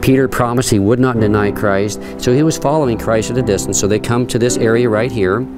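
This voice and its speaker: A man's voice: medium pitch, fairly quick, over music. A middle-aged man leading a tour and teaching, reading scripture aloud and explaining it earnestly, with frequent fillers and restarts, on a stone terrace outdoors. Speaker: tour guide and Bible teacher. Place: outdoor stone terrace, then an underground rock dungeon.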